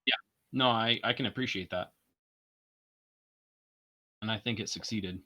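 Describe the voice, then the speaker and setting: Laid-back, lower-voiced male guest. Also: a little slow, sharp tone. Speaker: co-streamer guest. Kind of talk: casual chat while gaming.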